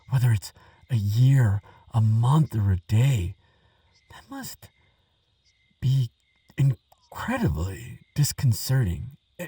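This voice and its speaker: A man playing a nervous, kindly, chatty researcher in an audio roleplay, hesitant and gentle, rambling with small stammers. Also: mid-range voice, breathy edge, dry recording, distant mic, exaggerated voice. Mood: sympathetic, reassuring. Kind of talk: audio roleplay monologue addressed to the listener.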